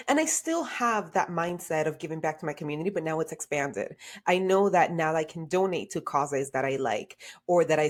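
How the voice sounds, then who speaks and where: A woman in her thirties, originally from Honduras, speaks as a podcast guest and career coach. She talks in an animated, conversational way with frequent "like" fillers. Her voice sits a little low.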